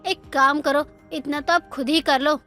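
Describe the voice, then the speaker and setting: A woman's voice: high voice, fairly even intonation, normal speed, a bit bright. A woman voicing a lazy, insolent young daughter-in-law who argues back in a moral story cartoon. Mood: insolent refusal. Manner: defiant, argumentative. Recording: music under the voice.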